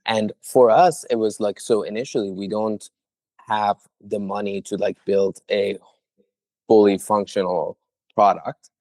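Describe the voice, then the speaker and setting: A man's voice: lowish voice, fairly even intonation, unhurried, darkish tone, dry recording. A young man who founded a startup, answering interview questions earnestly with frequent filler words.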